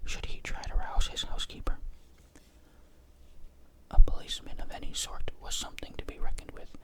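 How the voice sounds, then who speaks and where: A narrator reading a suspense story aloud in a soft, steady whisper. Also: normal speed. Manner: soft, hushed whisper throughout.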